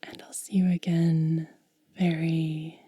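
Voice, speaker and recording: A woman speaking in a soft, breathy whisper as an ASMR host, gentle and unhurried, close to the microphone. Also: low-pitched, level tone, no room sound. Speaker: ASMR video creator and host.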